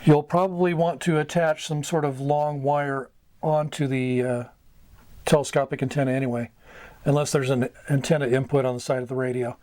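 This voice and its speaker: A middle-aged American man giving an informal instructional talk, going item by item in short, halting phrases. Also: mid-range voice, little pitch movement, a little mellow, no room sound. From United States. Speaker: amateur radio mentor, video host. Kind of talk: informal instructional explanation at a whiteboard. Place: small wood-panelled room indoors.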